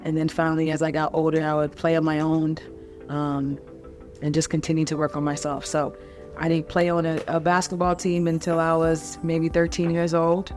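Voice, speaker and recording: A woman in her thirties from North Carolina, a basketball coach, telling her life story in a relaxed way with many ums. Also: deep voice, normal speed, soft background music. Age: 30s. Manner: relaxed, conversational with frequent ums. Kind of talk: personal story told to camera.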